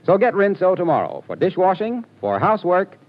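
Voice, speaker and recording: A man's voice: high voice, very animated, a little fast, a little hiss or hum. A male radio announcer with a hearty, salesy announcer delivery, reading a 1940s radio advertisement. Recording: dull 1940s radio broadcast with faint music.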